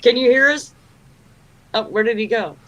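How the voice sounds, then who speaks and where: A woman's voice: on the high side, normal speed, dull audio. A woman hosting a casual interview podcast, asking her guest questions in a chatty way. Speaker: podcast host. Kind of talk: casual interview over a video call.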